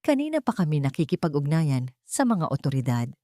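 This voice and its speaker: Stern male ally read by a female narrator, Filipino accent, formal, accusing, low, dry storytelling. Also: very animated, brisk, dark tone, dry recording. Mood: firm, accusing. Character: stern, resolute male ally presenting evidence.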